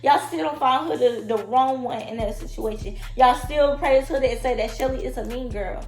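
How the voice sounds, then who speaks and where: A woman who is a little sick and sounds raspy, giving blunt, emphatic opinions about a reality show straight to her viewers. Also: sits high, normal speed. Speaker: reality TV commentator. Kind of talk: opinionated vlog commentary. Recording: echoey room with loud music.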